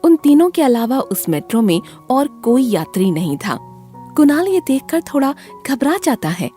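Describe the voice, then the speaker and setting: A woman's voice: sits high, very animated, normal speed, mellow, soft background music. A woman narrating a scripted horror story as a dramatic storyteller.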